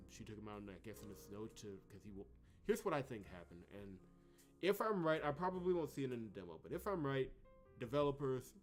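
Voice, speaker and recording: A man with a fairly low voice does playthrough commentary in a casual, animated way, as if thinking out loud. Game music plays clearly behind him. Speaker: gamer doing a blind demo playthrough. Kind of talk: game commentary mixed with reading dialogue aloud. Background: video game music.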